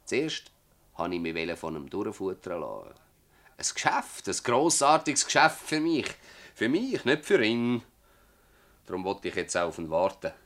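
An actor playing a stubborn, bitter old village farmer in a Swiss German dialect radio play, rambling and emphatic with rhetorical repetition. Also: male voice, fairly low pitch, big pitch swings, measured pace, a bit bright, heavily acted. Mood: earnest, emphatic.

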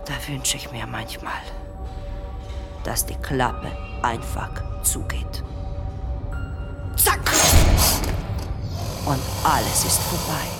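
A woman playing a morbid circus crocodile tamer in a radio play, telling things dramatically with dark deadpan asides. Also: rumbling low, takes it slowly, creaky, pauses often, over loud music, heavily acted. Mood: confiding, morbid. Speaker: actress.

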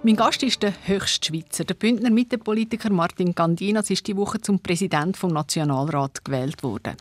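A woman's voice: on the low side, dry recording, studio quality. A woman with a Swiss German accent hosting a radio interview. She asks probing, pointed questions.

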